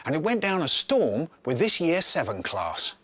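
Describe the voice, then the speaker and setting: Lively man presenting, high and sing-song. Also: normal speed. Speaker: presenter of a teaching programme. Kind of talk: scripted presenting to camera.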